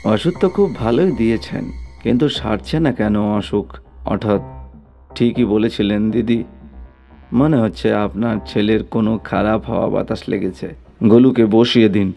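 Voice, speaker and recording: A man's voice: on the low side, moderate pace, creaky, soft background music. A man voicing a commanding male exorcist who challenges spirits in a horror cartoon story, with insistent delivery. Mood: puzzled, assured. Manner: forceful commands and repeated questions.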